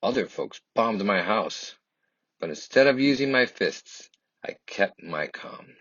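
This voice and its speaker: A man reading a children's picture book aloud in first person, with earnest, deliberate and expressive delivery. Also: lowish voice, dry recording, highs cut off. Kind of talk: expressive scripted storybook narration in first person.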